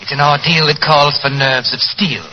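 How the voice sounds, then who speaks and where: A man's voice: fairly high pitch, steady tone, moderate pace, dull audio, close to an everyday voice. A man acting a courtly, faintly sinister waxworks proprietor in a 1940s radio drama, speaking with oily, formal politeness. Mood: sly, ominous. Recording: noisy 1940s radio recording.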